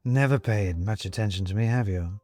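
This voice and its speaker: A man voicing a sly, predatory house cat that taunts its prey in a slow, condescending drawl. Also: lowish voice, warm tone, soft background music, close to an everyday voice.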